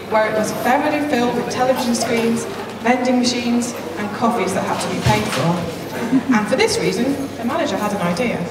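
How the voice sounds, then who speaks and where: A woman's voice: on the high side, far from the mic. A woman giving scripted storybook narration on stage as a pantomime narrator. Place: large hall, live stage performance, recorded from afar. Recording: echoey hall from afar, crowd, loud noise.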